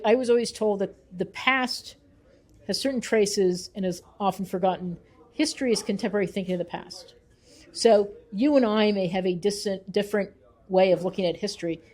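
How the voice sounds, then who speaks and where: Female interviewee, researcher and author type. Mellow tone, mid pitch, earnest and emphatic explainer. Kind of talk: thoughtful interview talk.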